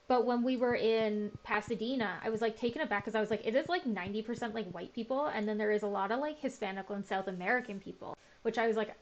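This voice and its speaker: A young woman, chatting in a rambling, filler-heavy, self-deprecating way while playing a game. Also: a little high. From Canada. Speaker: gaming video host. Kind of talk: casual chat while playing a farming game. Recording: slightly muffled with faint game music.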